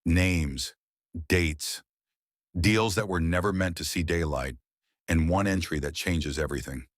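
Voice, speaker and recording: A male narrator voicing a tired, haunted man in an audiobook thriller, speaking in a hushed, grave way. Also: low voice, a little slow, no echo, studio quality. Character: weary, haunted man with a secret.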